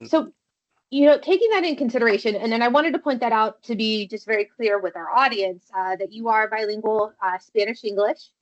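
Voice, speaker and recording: A woman's voice: on the high side, brisk. A woman hosting a podcast interview, asking questions in a loose, friendly way with many fillers. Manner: friendly, loose phrasing with "you know" and "like". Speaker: podcast host. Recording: dull, lo-fi sound.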